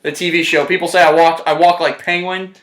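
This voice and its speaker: A man's voice: a little high, flat delivery, fairly quick, fairly bright tone. A young man in a hospital bed, chatting casually with lots of fillers and slang, recorded on a phone camera across the room. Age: young adult. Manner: laid-back, rambling, slangy with lots of fillers. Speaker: interviewee. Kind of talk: casual chat.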